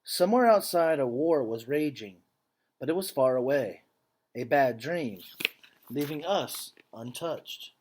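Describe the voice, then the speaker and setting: A man reading a picture book aloud like a teacher to students, with measured phrasing and casual explanatory asides. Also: mid-range voice, lively intonation, a little mellow, husky.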